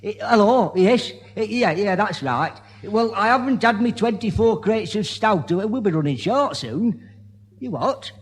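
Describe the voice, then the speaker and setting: High-voiced, blustering northern English landlord. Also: male voice, animated, a little slow, husky, in a large hall, exaggerated voice. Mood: harried. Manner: flustered, blustering. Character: blustering, easily riled pub landlord. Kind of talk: old-time radio sitcom acting.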